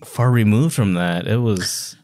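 A man's voice: on the low side, measured pace, darkish tone. A man co-hosting a podcast in casual chat, with a joking, teasing manner. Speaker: podcast co-host.

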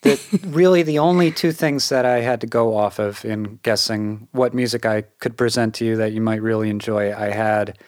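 A man's voice: fairly low pitch, fairly even intonation, moderate pace, hardly any pauses, no room sound. A man co-hosting a casual music podcast, chatty and prone to restarting sentences. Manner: chatty, stumbling over starts. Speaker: podcast co-host. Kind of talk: casual chat.

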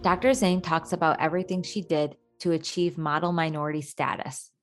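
A woman's voice: low-pitched, fairly even intonation, fairly quick, over music. A woman hosting, reading scripted podcast narration that links the interview segments. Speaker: host, narrator.

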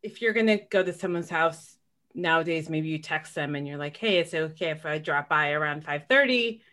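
A woman hosts a relaxed podcast chat in an earnest, warm way. She reminisces and draws her guest out with follow-up questions. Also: deep voice, fairly quick. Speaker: podcast host. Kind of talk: casual podcast conversation.

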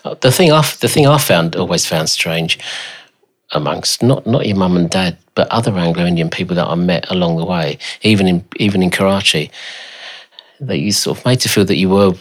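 Low-voiced English man, thoughtful. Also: a little fast. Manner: thoughtful, hesitant restarts. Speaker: podcast host. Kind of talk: conversational family interview.

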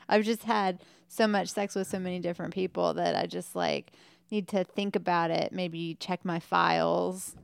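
A woman's voice: on the low side, fairly even intonation, a little slow, vocal fry, clear, resonant tone, no room sound. A woman in her thirties co-hosting a podcast with her husband, wry and teasing, with a small light voice. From Tennessee. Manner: wry, teasing, laughs often. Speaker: podcast co-host. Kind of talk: casual chat.

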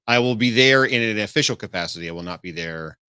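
A man with a bright, forceful voice on the low side chats casually as a podcast co-host, rambling and restarting his sentences. His voice is recorded close and dry, with no room sound behind it. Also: expressive, fast talker, smooth, no echo. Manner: rambling, with frequent restarts and laughs. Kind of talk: casual chat.

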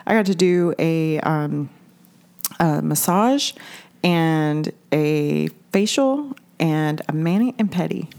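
A woman's voice: sits low, lively intonation, slow, a little mellow. An American woman in her forties chatting casually and candidly as a co-host, with some swearing. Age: 40s. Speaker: podcast co-host. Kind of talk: casual chat.

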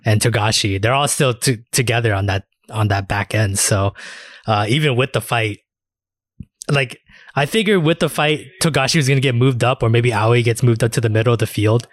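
A man's voice: mid-range voice, moderate pace, no room sound, clean studio sound. A man hosting a casual anime discussion, talking in a rambling, slangy way with casual swearing. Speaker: podcast host.

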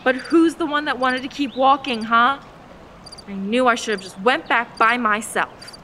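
A woman acts a bratty young girl in an audio drama, stubborn and petulant. The voice is bright, sharp and fairly high, with faint cricket-filled night ambience behind it. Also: moderate pace, slight background noise. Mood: annoyed, taunting.

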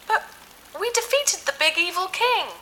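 Bright, excitable childlike AI. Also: female voice, extremely high pitch, normal speed, slight background noise, full character voice. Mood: excited, indignant. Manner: refers to itself by name, naive, blunt. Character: childlike, excitable ship AI with cheerfully villainous streak. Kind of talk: sci-fi audio drama acting.

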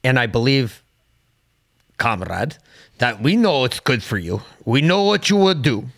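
A man's voice: mid-range voice, very animated, unhurried, gravelly, fairly bright tone, no echo, clean studio sound, exaggerated voice. A man mimicking a stern Russian official, menacing and commanding.

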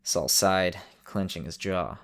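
A man with a creaky voice, speaking as the storyteller and host of an audio drama show. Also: sits low, takes it slowly, a bit bright. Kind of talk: narration and casual host talk.

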